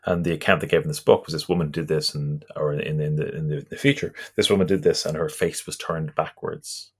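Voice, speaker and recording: A guest talking about folklore in a casual conversation, in a halting, thoughtful way with many restarts. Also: a bass voice, fairly even intonation, breathy edge.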